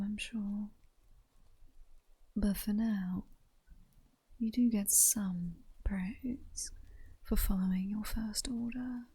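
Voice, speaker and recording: A seductive vampire queen, acted out by a woman in whispered ASMR roleplay. Her British-accented voice is very breathy and soft, with a dark, mellow tone. She teases and commands at a slow, sultry pace. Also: medium pitch, poor audio. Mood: praising, seductive. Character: seductive, mocking vampire queen toying with her captured hunter.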